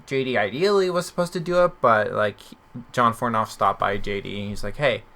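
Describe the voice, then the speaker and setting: A man hosts a podcast in a loose, informal chat. His voice is bright and forward, with a noticeable creak running through it. He talks in a conversational, off-the-cuff way at an ordinary male pitch. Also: a little fast. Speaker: podcast host. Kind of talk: casual chat.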